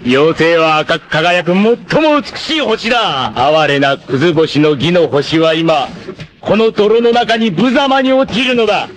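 A man voicing a vain, treacherous martial-arts villain in an anime, with a theatrical, haughty, drawn-out delivery. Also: fairly high pitch, expressive. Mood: gloating, cruel. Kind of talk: dramatic anime voice acting.

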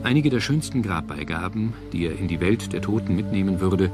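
A man reading scripted documentary narration in a measured, solemn manner. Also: deep voice, expressive. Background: music.

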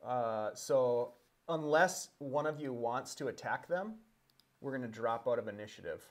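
A man's voice: mid-range voice, expressive, unhurried. A man narrating as game master, talking loosely with many fillers and the odd curse. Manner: loose, hesitant with fillers, swears casually. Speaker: dungeon master. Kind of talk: narrating and voicing a tabletop game.

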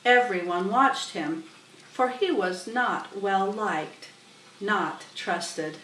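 Middle aged lady reading a kids book, really unhurried, echoey room, bit far from the mic. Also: medium pitch, fairly bright tone, a little hiss or hum. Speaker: storybook reader. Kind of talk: read-aloud children's story narration. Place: small room indoors. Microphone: webcam a little way off. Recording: roomy, distant webcam microphone.

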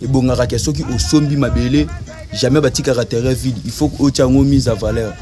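A man talks earnestly outdoors at an ordinary pitch, giving advice. Music plays clearly behind his voice. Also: steady tone, quick pace. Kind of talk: interview statement.

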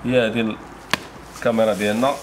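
A man's voice: fairly low pitch, flat delivery, fast. A man in his thirties with a Moroccan accent presenting products informally in a shop, with repetitive salesman patter. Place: small motorbike accessory shop, indoors. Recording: clearly noisy shop ambience.

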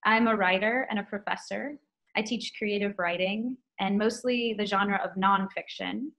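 A woman's voice: medium pitch, fairly even intonation, moderate pace, fairly bright tone, rough recording. A young woman, a writer and professor, talking in an eager, casual way on a video call from home. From United States. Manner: eager, casual, uses "like" often. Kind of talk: conversation over video call. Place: home room.

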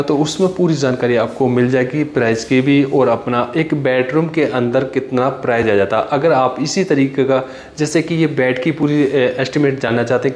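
A man's voice: medium pitch, a little mellow. Man explaining furniture in an echoey room. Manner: plain explanatory talk with frequent "jaise ki" and pauses. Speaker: carpenter showing his furniture work. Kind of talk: walkthrough tutorial. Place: finished bedroom with hard floor and walls. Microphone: handheld phone camera. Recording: echoey room with faint ambient noise.

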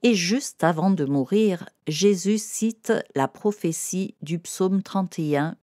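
A woman's voice: fairly low pitch, takes it slowly, dark tone, no echo, studio quality. A woman narrating a scripted lesson for children, explaining simply and directly as if to one child.

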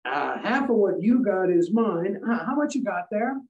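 A man's voice: high-pitched, big pitch swings, normal speed, a little mellow, far from the mic. Man acting a sly older radio husband, roomy sound. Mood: slyly instructing. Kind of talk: 1940s radio comedy acting. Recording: roomy, muffled, off the mic.

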